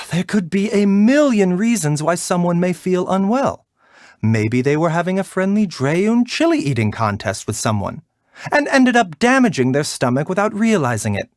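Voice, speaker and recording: A man voicing a gentle, refined video game doctor who is polite, soft-spoken, caring and a little wry. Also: high-pitched, big pitch swings, no echo, studio quality. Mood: gently admonishing. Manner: measured, soft-spoken, polite, lightly teasing. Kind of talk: video game character voice lines.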